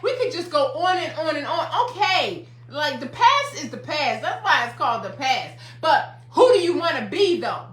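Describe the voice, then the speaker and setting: A woman in her fifties gives a motivational livestream talk as a life coach, hammering her points home with repetition. Her voice is high and bright. The room sounds echoey. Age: 50s. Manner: emphatic, repetitive, preacherly.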